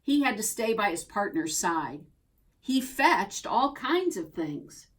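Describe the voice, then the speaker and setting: A woman reading a children's picture book aloud as a storyteller, expressively and deliberately, drawing out key words. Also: medium pitch, very animated, a bit bright. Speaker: storybook reader.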